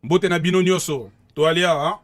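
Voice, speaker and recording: A man with a Congolese accent, a sports coach chatting in an interview, animated, laughing often and using street slang. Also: on the high side, normal speed. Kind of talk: casual interview chat.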